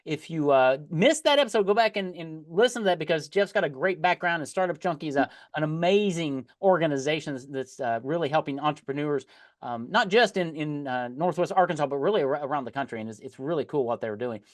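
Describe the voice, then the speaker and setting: A man hosting an interview podcast, talking in a halting way with many restarts and fillers. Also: fairly high pitch, lively intonation. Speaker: podcast host.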